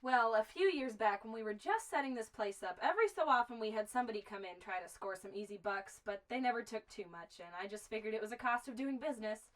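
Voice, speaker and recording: Actress as chatty high-voiced frontier shopkeeper woman. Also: expressive, fast talker, hardly any pauses, close to an everyday voice, low-quality recording. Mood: matter-of-fact. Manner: folksy, talkative. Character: chatty, friendly, tough young woman keeping a remote frontier store. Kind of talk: amateur western film acting.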